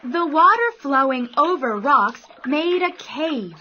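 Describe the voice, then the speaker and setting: A woman narrating a scripted children's bedtime story in deliberate, careful phrases. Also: high-pitched, lively intonation, a little hiss or hum. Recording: dull sound, soft music behind.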